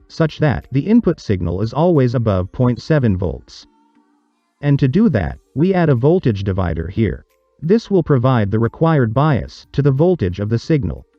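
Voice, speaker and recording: A man reading a scripted explanatory narration for a tutorial, in a measured, didactic, step-by-step manner. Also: mid-range voice, warm tone, no echo, dull audio.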